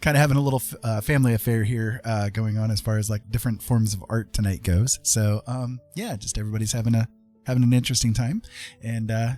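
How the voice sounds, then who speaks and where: A man's voice: fairly low pitch, fast, darkish tone, faint music behind. A man hosting a casual livestream, chatting in a friendly, rambling way as he paints. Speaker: live art streamer and host.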